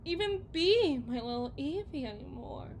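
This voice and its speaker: Woman acting high-voiced, emotional suburban trophy wife. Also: expressive, normal speed, slight background noise. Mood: anxious, desperate. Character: anxious, lovesick suburban trophy wife and mother. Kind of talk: ASMR roleplay, dramatic monologue.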